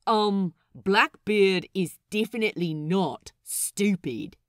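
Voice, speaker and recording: A woman's voice: medium pitch, animated, slow pace, no echo, studio quality, voice pushed up. A woman voicing a stubbornly opinionated, flustered male pirate crewman in an audiobook story. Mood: argumentative. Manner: insistent, hesitant interjections.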